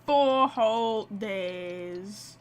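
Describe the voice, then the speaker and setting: A woman's voice: mid-range voice, very slow. A woman giving casual, chatty live game commentary, reacting aloud and talking to her chat. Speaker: game livestreamer.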